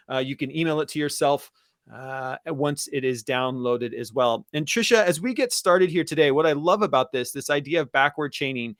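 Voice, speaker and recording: A man's voice: fairly high pitch, a bit bright, no room sound, clean studio sound. A man co-hosting an educational webinar in a casual presenting style, talking directly to listeners. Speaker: podcast and webinar co-host.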